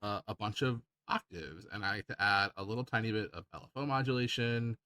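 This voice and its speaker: A man hosting a casual software tutorial, talking in an improvised, rambling and hesitant way. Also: fairly low pitch, fairly quick. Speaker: tutorial host.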